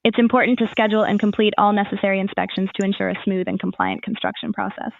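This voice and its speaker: A woman's voice: mid-range voice, steady tone, fast talker, gravelly, no room sound, dull audio. A woman's voice as an AI assistant, giving scripted, formal informational answers in a customer-service manner.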